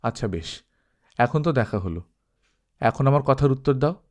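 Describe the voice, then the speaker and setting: A man's voice: medium pitch, expressive, moderate pace, a little mellow, natural voice. A man voicing an earnest young husband in love in storybook dialogue, pressing and mock-offended. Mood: sulky insistence.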